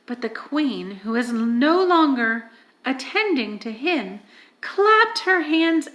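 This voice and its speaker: A woman reading a children's story aloud as expressive narration. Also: sits high, takes it slowly, dull audio. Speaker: audiobook reader.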